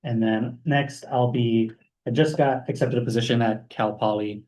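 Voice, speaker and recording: A young man from Hawaii giving an informal talk about his career as a guest speaker, relaxed, with many fillers. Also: mid-range voice, normal speed, low-quality recording. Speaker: guest speaker, math postdoc.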